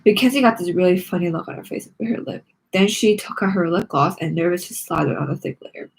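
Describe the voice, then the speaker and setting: A woman's voice: on the low side, expressive, fast talker, gravelly, husky, poor audio. A woman reading a children's story aloud with dramatic expression, acting out the lines. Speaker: read-along narrator of a children's book.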